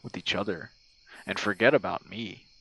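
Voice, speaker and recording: A man voicing an old gamer buddy, lonely and self-effacing, in a halting, sweary, casual voice message. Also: low-pitched, creaky, a bit bright, husky, heavily acted, low-quality recording. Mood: rambling, earnest. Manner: rambling, halting, frequent swearing. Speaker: audio roleplay performer. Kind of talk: intimate ASMR roleplay voice message, rambling casual talk. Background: night crickets and insects.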